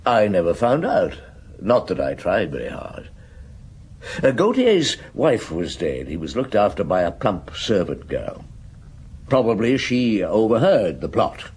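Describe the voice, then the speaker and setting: An actor playing a shrewd, world-weary police inspector in a radio drama, speaking in a dry, deliberate, reflective way. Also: male voice, lowish voice, huge pitch swings, darkish tone, slight background noise.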